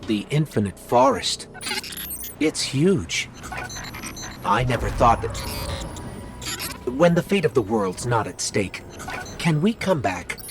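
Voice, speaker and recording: A man's voice: mid-range voice, big pitch swings, slow pace, gravelly, long pauses, full character voice. A man voicing a small, earnest robot companion in a video game, chatty and curious with an anxious streak. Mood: awed. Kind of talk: video game cutscene acting. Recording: music behind the voice.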